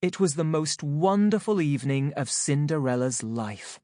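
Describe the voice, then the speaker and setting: A woman narrating a children's audiobook from a script, in a measured, expressive, clearly phrased way. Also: sits low, no echo, studio quality.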